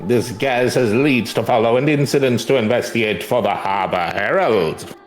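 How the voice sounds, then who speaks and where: A man voicing a brisk, self-important newspaper reporter in an old-timey radio style. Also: medium pitch, reverberant, close to an everyday voice. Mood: brisk, busy.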